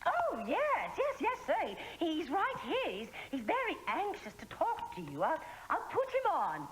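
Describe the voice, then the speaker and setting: A woman's voice: very high voice, wildly swooping pitch, unhurried, slight background noise, pitched above the performer's own voice, heavily acted, rough recording. A woman faking a stammering Swedish camp caller. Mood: flustered. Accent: put-on Swedish. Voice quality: stammering, overeager.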